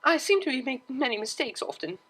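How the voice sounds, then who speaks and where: A woman's voice: high-pitched, very animated, fast talker, fairly bright tone, slightly rough, pitched above the performer's own voice, heavily acted. A woman voicing a refined, polite young mare cellist in a pony story, awkward and earnest, with prim formal wording. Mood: apologetic, embarrassed.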